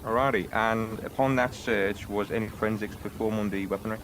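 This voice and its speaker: Low-voiced guy playing a lawyer, formal questions, measured, noisy voice chat. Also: fairly even intonation. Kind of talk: roleplay courtroom questioning.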